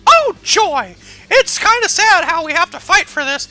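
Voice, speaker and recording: A woman's voice: very high voice, very animated, unhurried, bright, forceful tone, heavily acted. A woman voicing a sweet, innocent little male teddy bear in a cheerful, naive cartoon style. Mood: gleeful. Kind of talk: cartoon character voice.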